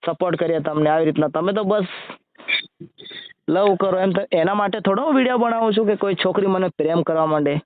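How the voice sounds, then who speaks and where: A man's voice: high voice, a little fast, noisy background. A man with a Gujarati accent chatting casually on a phone call, colloquial, repeating himself and asking questions. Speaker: amateur video creator on a phone call. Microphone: recorded phone call. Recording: muffled lo-fi phone call recording.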